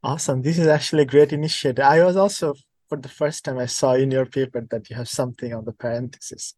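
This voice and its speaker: A man's voice: mid-range voice, steady tone, fast, a little mellow, no room sound. A man hosting a science podcast interview, friendly and encouraging toward his guest. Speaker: podcast host.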